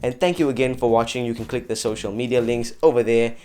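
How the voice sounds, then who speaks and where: A man hosts a how-to video with an upbeat, chatty delivery. His voice sits at an average pitch. Music plays softly behind him as he guides viewers through the steps. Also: fast. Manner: chatty, addresses viewers as guys. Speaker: host of an effects tutorial channel. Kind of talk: upbeat scripted tutorial narration. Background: background music.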